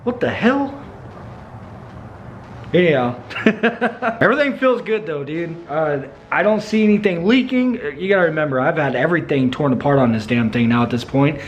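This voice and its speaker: A man chatting casually in a car vlog, laid-back and slangy, calling people bro and dude, and he is going hoarse. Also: a little high, animated, normal speed. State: hoarse, losing his voice. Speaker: car build vlogger. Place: in a car, driving. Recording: dull lo-fi sound, loud road noise. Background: road and engine noise.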